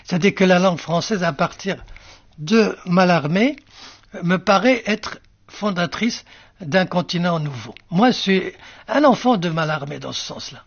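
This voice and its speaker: Older man, gravelly, husky, halting. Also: high voice, animated, no room sound, highs cut off. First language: Arabic. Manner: halting, many fillers and restarts, musing. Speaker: writer interviewed. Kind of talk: reflective interview answers.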